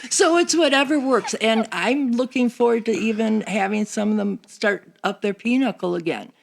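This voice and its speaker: A woman's voice: on the high side, moderate pace, gravelly. A middle-aged or older woman chatting casually as a podcast guest. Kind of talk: casual chat.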